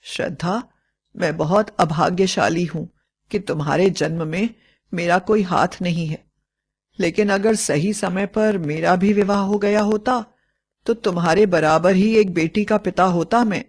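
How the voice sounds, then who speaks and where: Woman voicing a slow, sorrowful middle-aged stepfather. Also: lowish voice, slightly rough. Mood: hurt, resigned.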